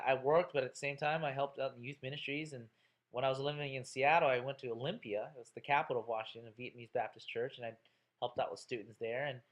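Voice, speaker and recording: A man's voice: medium pitch, fairly bright tone. A man in his thirties, a college instructor introducing himself to his class in a chatty, joking way with lots of fillers. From Texas, USA.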